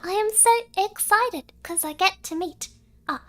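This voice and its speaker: A woman with a small, childlike cutesy voice chatting as a streamer, halting and excitable, switching between languages as she thanks viewers. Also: very high voice. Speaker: VTuber streamer thanking donors. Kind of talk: cutesy livestream chat with donation thank-yous.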